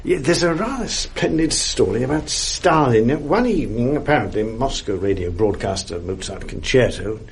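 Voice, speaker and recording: A man's voice: mid-range voice, lively intonation, moderate pace, vocal fry, few pauses, breathy edge, heavily acted. An actor playing a world-weary, middle-aged upper-class Englishman in a radio drama, with an English accent and a wry, urbane, self-mocking manner. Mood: wry, chatty. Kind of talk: 1980s British radio drama acting, intimate naturalistic dialogue. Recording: faint noise on archive radio recording.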